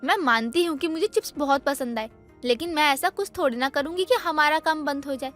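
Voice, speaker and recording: A woman's voice: high voice, a little fast, fairly bright tone, faint music behind. A woman playing a young daughter-in-law in a dramatized moral story, dutiful yet defensive, with an earnest, explanatory way of talking. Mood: defensive, indignant.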